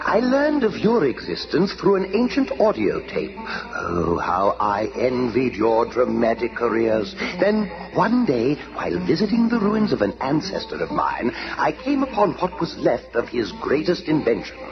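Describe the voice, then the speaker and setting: A pompous villain pharaoh. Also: male voice, medium pitch, wildly swooping pitch, a little slow. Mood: boastful. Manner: pompous, boastful monologue. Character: grandiose male villain pharaoh, time traveler. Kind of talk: 1960s cartoon voice acting. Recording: dull lo-fi old broadcast with music.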